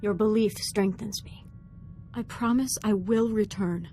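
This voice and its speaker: An actress playing a young, earnest, troubled Sith apprentice in a video game, speaking gravely and formally. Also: female voice, mid-range voice, a little slow, mellow, soft background music, lightly acted. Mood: troubled, resolved. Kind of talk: dramatic video game voice acting.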